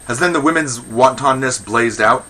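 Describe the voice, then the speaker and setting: A man's voice: medium pitch, normal speed, pushed and bright, voice pushed up, low-quality recording. A man playing a pompous, indignant old Athenian magistrate in a verse comedy, declaiming with blustering contempt for women. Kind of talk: verse comedy read aloud.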